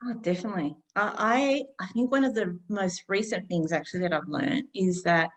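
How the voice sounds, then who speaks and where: A woman in her fifties, a podcast guest, speaking calmly and unhurriedly with many pauses. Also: lowish voice. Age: 50s. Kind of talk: reflective conversational interview.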